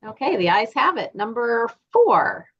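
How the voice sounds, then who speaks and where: A woman chairing a formal board meeting. She calls votes in brisk, procedural phrases. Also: a little high, big pitch swings, slightly rough. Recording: dull, lo-fi sound.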